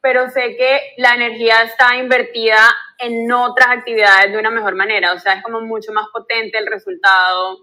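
A woman's voice: a little high, level tone, a little fast, hardly any pauses, sharp tone. A woman, hosting a podcast, speaking in a reflective, affirming way. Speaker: podcast host. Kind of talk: casual conversation.

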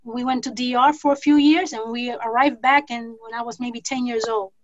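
Guest artist, woman, 40s, from New York City; high voice, muffled lo-fi sound. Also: fairly even intonation, no room sound. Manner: thoughtful, rambling with pauses and restarts. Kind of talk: casual self-introduction. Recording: dull lo-fi sound.

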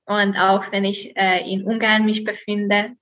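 Slow, bright-voiced young woman, Hungarian accent. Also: mid-range voice, level tone, dull audio. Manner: hesitant, many fillers, laughs softly. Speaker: guest, student. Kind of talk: interview answers.

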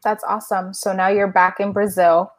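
A woman hosting a casual podcast interview, upbeat and quick to laugh. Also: medium pitch, level tone. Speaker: podcast host.

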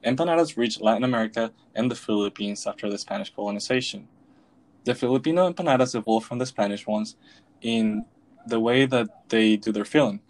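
A young man from Venezuela co-hosting a podcast, reading a prepared script in a steady way. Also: lowish voice, fairly quick. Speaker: podcast co-host.

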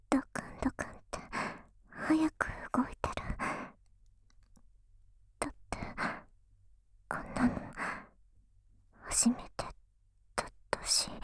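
A woman playing a shy, jealous and devoted young tsundere girlfriend in an intimate ASMR voice drama, breathy and hesitant, close to a binaural microphone. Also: high-pitched, dry recording. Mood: bashful. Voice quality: breathy whisper. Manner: bashful, hesitant, confiding. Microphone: close binaural microphone, dry.

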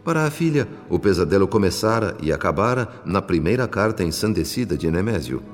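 A Brazilian man narrating a scripted radio drama in a solemn, measured, literary manner. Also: sits low, big pitch swings. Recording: reverberant sound with music bed. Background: faint music.

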